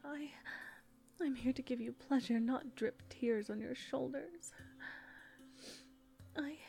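A woman playing a young pregnant captive woman who is tearful and devoted, speaking in a soft breathy whisper with halting, repeated words. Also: fairly high pitch. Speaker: audio roleplay performer. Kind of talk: intimate audio roleplay.

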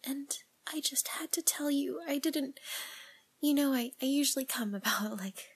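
A woman playing a nervous young woman confessing to a priest, speaking in a breathy whisper with halting, tearful, pleading phrases. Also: high-pitched. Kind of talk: whispered audio roleplay monologue.

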